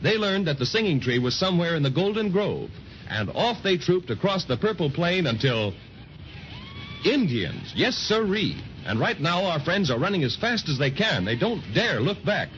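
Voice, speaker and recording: Casting: male storyteller, fairly high voice, very lively delivery, old-time children's radio, muffled transcription. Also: moderate pace. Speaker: narrator. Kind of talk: animated 1930s radio story narration. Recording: dull, noisy 1930s radio transcription.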